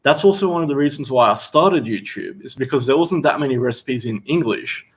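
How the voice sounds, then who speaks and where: A young Australian man, a chef, hosting a casual livestream Q&A while seated, reading out viewer questions and answering in a relaxed, chatty way. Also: mid-range voice, brisk. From Western Australia. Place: seated indoors.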